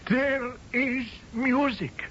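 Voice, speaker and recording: A very high-voiced Indian houseboy in a radio drama, speaking slowly with an Indian accent. He is solemn, deferential and quietly scornful, with ornate phrasing, on a dull old radio recording with music behind. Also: steady tone, slight background noise, heavily acted. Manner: ornate, formal, portentous phrasing. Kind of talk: radio drama acting and narrating in character.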